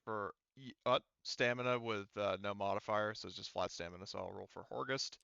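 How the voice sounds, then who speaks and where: A man leads a tabletop roleplaying session as game master, his voice bright and smooth. He speaks haltingly, working out rulings as he goes. The recording is close and dry, a little muffled. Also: lowish voice, steady tone, no echo. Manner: halting, thinks aloud while working out rules.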